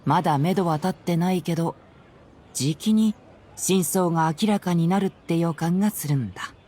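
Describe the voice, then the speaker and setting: A man playing a clever, composed, boyish young detective in an anime game, calm and confident. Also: a little high, quick pace, natural voice. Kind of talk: Japanese anime game voice acting.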